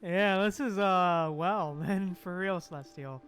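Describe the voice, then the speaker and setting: Male game streamer, slow casual reactions, amused, slangy, faint music behind. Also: high voice, a bit bright. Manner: laid-back, amused, laughs often, slangy.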